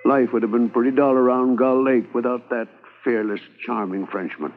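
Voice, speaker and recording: A man narrates as a Mountie recalling events in a reflective storytelling voice at a middle pitch. The sound is thin and tinny, with faint brass music behind it. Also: fairly even intonation, moderate pace, natural voice. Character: Mountie remembering a manhunt, narrator. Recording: thin old radio transcription with faint music.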